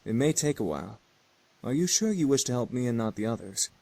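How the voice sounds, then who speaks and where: A man voicing a gentle, refined young male incubus in a visual novel game, speaking in formal, measured phrases. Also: mid-range voice, very animated, gravelly, no room sound. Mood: calm, polite.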